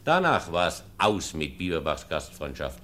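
An actor playing a sceptical, stern aristocratic baron in a German period radio play, with dry, formal, measured speech. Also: male voice, rumbling low, very animated, fairly bright tone, a little hiss or hum, heavily acted. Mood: recounting.

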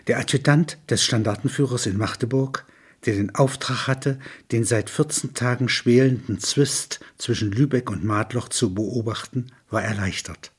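A man's voice: medium pitch, moderate pace, husky. A man narrating a scripted literary text in documentary style.

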